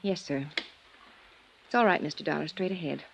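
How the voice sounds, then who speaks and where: A woman playing a meek, polite, downtrodden secretary with a quiet, deferential manner in 1950s radio drama style. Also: sits low, normal speed, creaky.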